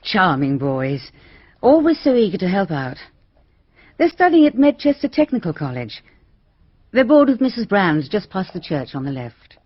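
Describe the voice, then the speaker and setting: Genteel village lady, husky, lilting. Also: medium pitch, big pitch swings, moderate pace, no echo. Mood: pointed. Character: respectable, opinionated, guarded village lady. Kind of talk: radio mystery drama acting. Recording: dull, lo-fi radio drama recording.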